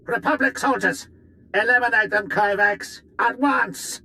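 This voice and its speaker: A man's voice: very high voice, measured pace, sharp tone, exaggerated voice. A man voicing a smug military villain in a video game, taunting his foes and barking orders imperiously. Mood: menacing, commanding. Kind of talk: video game cutscene acting.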